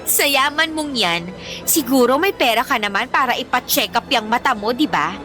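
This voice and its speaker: A woman's voice: a little high, normal speed, sharp tone, faint music behind. A woman with a Filipino accent playing a spiteful rich teenage bully in a radio drama, haughty and taunting. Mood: scornful, taunting.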